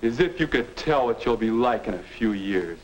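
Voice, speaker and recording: A young man with an American accent playing a sullen, defensive high school boy with no aim, grumbling in a 1950 instructional film. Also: expressive, normal speed, close to an everyday voice. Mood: grumbling, pondering. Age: young adult. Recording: noisy, clicking 1950 film soundtrack.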